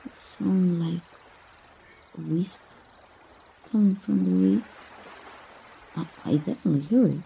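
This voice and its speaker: A woman from Mexico voicing a gentle, romantic young princess in an ASMR roleplay, soft and breathy, with a hesitant, earnest manner. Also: lowish voice, a little slow, long pauses, slight background noise, rough recording. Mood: hushed wonder. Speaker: amateur voice actor.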